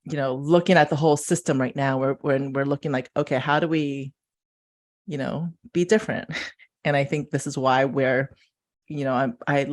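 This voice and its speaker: A woman in her forties from the USA, born in Taiwan, chatting as an interview guest over a video call. She talks excitedly and rambles, full of "like" and "you know.". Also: a bass voice, moderate pace. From born in Taiwan, raised in Ohio, USA. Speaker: guest, food and postpartum author. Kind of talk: casual interview chat.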